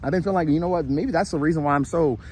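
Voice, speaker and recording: A man's voice: fairly high pitch, rapid-fire, a little mellow, slight background noise. Earnest man vlogging on a walk outdoors. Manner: earnest, repetitive, with frequent "right?" and restarts; walking while he talks. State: tired and sweating. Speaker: spiritual motivational vlogger. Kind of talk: casual encouraging monologue. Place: outdoors in a shaded park.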